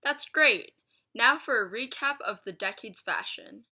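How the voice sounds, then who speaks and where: A woman's voice: fairly high pitch, expressive, measured pace, bright, forceful tone, slightly rough. A woman anchor introducing segments with brief scripted news links.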